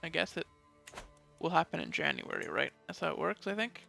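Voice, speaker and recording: A man's voice: fairly high pitch, huge pitch swings, a little fast, gravelly, bright, forceful tone, soft background music. A man giving casual let's play commentary, thinking aloud and reading bits of game text, with some mild swearing.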